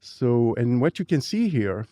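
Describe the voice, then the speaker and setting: A man in his fifties from Egypt with an Egyptian accent, a scientist guest talking in an interview, hesitant with many fillers and restarts, in a dark studio at a boom microphone. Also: very animated, unhurried, no room sound. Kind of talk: interview conversation. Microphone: boom microphone on arm.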